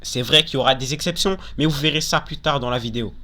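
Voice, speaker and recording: A man's voice: mid-range voice, fast talker, over music. A young man hosting a casual countdown video, talking informally and giving opinions, often repeating and qualifying himself. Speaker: video host.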